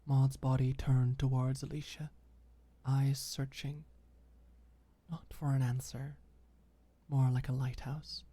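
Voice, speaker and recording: A woman reading scripted ASMR audiobook fiction softly and intimately, with deliberate pauses. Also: extremely low pitch, flat delivery. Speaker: audiobook narrator.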